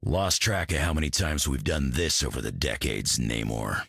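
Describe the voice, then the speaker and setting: A gruff old-campaigner hero for a video game. His extremely low voice is gravelly and husky, with wry, weary delivery. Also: expressive, moderate pace, a bit bright, no echo, clean studio sound, exaggerated voice. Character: gruff, world-weary veteran male brawler.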